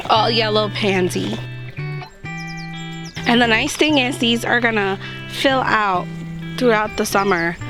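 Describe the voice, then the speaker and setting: A woman with a slightly raised, hoarse voice talks a gardening vlog through step by step, upbeat and chatty. She is recorded outdoors, with clear music playing under her voice. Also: fairly high pitch. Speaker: gardening channel host. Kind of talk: casual how-to chat to camera. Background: background music.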